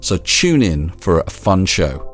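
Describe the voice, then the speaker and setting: A male podcast co-host, tired from an early start, speaking in a measured, calm way. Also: deep voice, over music. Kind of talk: scripted intro, then casual chat.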